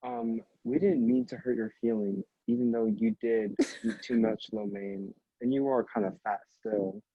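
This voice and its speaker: Male co-reader, dry dull lo-fi sound, husky and dark, hesitant deadpan delivery. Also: on the low side, moderate pace, no room sound. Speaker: co-reader voicing a story character. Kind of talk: casual amateur read-aloud with asides.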